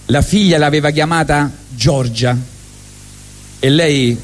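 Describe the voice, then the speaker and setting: A man with a Roman accent telling a comic monologue on stage, lively and full of asides. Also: a little high, slow pace, soft background music. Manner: lively, jokey, chatty asides. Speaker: storyteller on stage. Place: live stage at a benefit evening.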